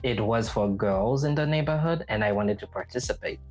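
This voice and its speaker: A man's voice: on the low side, normal speed, a little mellow, faint music behind. A man from Haiti hosting a podcast, talking casually and reflectively about personal experience. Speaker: podcast host.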